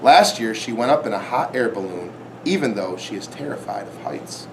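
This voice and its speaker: A man's voice: on the low side, expressive, normal speed, creaky, a little hiss or hum, poor audio. A man on a school's staff reading a children's story aloud.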